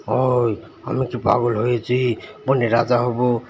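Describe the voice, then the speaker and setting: A man's voice: medium pitch, level tone, takes it slowly, vocal fry, darkish tone, pitched below the performer's own voice. A man voicing a surly, dismissive monkey in a cartoon, curt and scolding. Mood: scornful.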